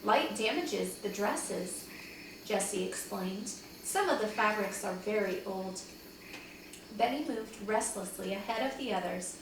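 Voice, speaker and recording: A woman's voice: mid-range voice, unhurried. A woman reading a children's story aloud like a teacher to young pupils, expressively acting out the dialogue. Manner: animated, dramatizes dialogue for young listeners. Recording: very echoey room, distant mic, faint noise.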